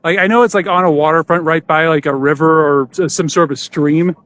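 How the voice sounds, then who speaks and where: A man's voice: fairly high pitch, fairly even intonation, fairly quick, fairly bright tone. A man hosting a podcast in casual chat, talking in a loose, informal, rambling way. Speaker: podcast host.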